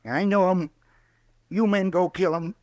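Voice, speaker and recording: An actor playing a bitter, weary old frontier man in a radio western, rambling and halting in folksy speech. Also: sits high, fairly even intonation, no room sound. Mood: bitter, agitated. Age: older.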